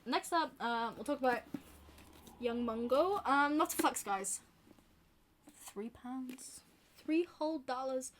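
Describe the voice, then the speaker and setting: A young woman with a softened Glasgow accent talks casually to camera, her voice a little higher than most. She rambles, with plenty of fillers and self-deprecating asides. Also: expressive, slow, long pauses, slight background noise. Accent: softened Glaswegian Scottish. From Scotland. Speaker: book vlogger.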